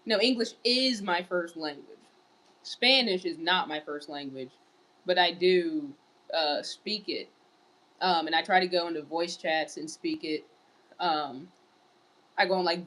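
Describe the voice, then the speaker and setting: A woman's voice: lowish voice, a bit bright, rough recording. A woman streaming live in casual chat, reading viewer messages aloud and answering them. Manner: wearing large headphones. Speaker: livestreamer.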